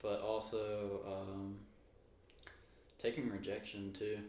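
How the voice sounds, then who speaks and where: A young man, a guest on a casual podcast, talking in a relaxed way. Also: fairly low pitch, takes it slowly. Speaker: guest, young business owner. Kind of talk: casual chat. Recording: roomy echo with faint noise.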